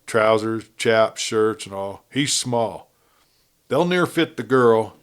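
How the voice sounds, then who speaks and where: A man's voice: lowish voice, lively intonation, slow, clear, resonant tone. A man voicing a ruthless, blunt outlaw gang leader with a folksy cowboy drawl in a Western story. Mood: scheming, insistent.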